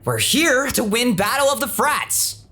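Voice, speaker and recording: A man's voice: extremely high pitch, very animated, measured pace, sharp tone, heavily acted. A young man playing an earnest, nerdy ghost-hunter in an audio drama, eager and insistent.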